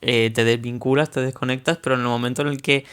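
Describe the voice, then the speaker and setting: A man from Murcia with a softened Murcian Spanish accent, hosting a podcast and answering his guest with warmth and stories of his own. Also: medium pitch, fairly even intonation, quick pace, smooth. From Murcia, Spain. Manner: supportive, empathetic, relates own experience. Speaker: podcast host. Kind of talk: casual conversation.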